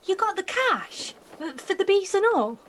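A young woman acting an excitable, mouthy and insecure nineteen-year-old girl in an audio drama, with slangy, blurting speech. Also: very high voice, normal speed, slight background noise, full character voice. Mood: amazed.

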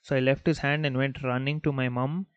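A young man from Bangalore whose first language is Malayalam, hosting a podcast and telling childhood stories in a relaxed, rambling way full of "like" and "you know". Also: mid-range voice, fairly quick, clear, resonant tone, dry recording, muffled recording. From Bangalore, India. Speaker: podcast host. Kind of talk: casual storytelling monologue.

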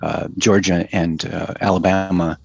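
A man's voice: low-pitched, flat delivery, a little slow, gravelly, a little mellow, breathy edge, dry recording, highs cut off. A man, a guest in a casual interview, talking in a loose, rambling way with fillers and restarts. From United States.

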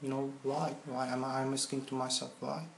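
A man's voice: mid-range voice, flat delivery, brisk, gravelly. Young turkish guy speaking english as a second language, searching for words, echoey room with some faint hum. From Turkey. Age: young adult. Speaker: interviewee. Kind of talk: casual interview answers.

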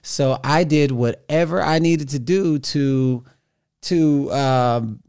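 A man of forty, a podcast guest, telling his story in an animated, conversational way with frequent restarts. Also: medium pitch, slow, clean tone. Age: 40s. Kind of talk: conversational interview.

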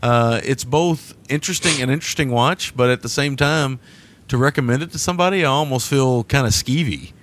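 A man's voice: mid-range voice, fairly quick, fairly bright tone. A man co-hosting a movie podcast in casual chat, easygoing and thinking aloud as he talks. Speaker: podcast co-host.

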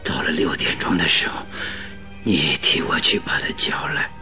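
An actor playing a gravely ill, irritable detective in a radio drama, with laboured, breathy speech and an imperious manner. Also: male voice, extremely low pitch, normal speed, sharp tone, soft background music, exaggerated voice. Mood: weak, secretive. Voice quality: breathy, gasping, creaky.

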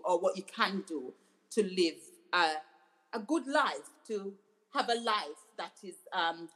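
A woman's voice: fairly low pitch, fairly bright tone, low-quality recording. Earnest woman doctor, reflective tone. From Jamaica. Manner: earnest, reflective, restarts phrases often. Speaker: doctor and author, guest. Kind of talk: interview conversation.

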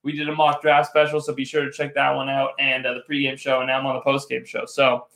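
A young man hosting a casual live postgame sports stream, his voice going hoarse, rambling with lots of restarts as he reads out viewer comments. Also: mid-range voice, completely flat delivery, quick pace, fairly bright tone, roomy sound. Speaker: live postgame show host.

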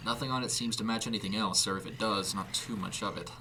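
Guy doing a dry curious police inspector in a story, low-ish bright voice. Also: fairly even intonation, fast, vocal fry, a little hiss or hum. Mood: thoughtful. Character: firm, curious, joking male inspector.

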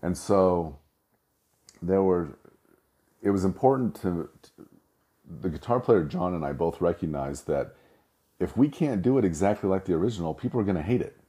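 A middle-aged man with a low voice, talking casually and rambling with restarts. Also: animated, normal speed, pauses often, warm tone. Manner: rambling, self-correcting storyteller. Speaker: host. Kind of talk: casual monologue.

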